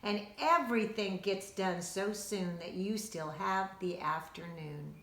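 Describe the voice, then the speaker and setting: A woman voicing a jolly, bossy, energetic male handyman in a storybook, chirpy and coaxing. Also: medium pitch, measured pace. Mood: encouraging. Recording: roomy, echoing indoor sound.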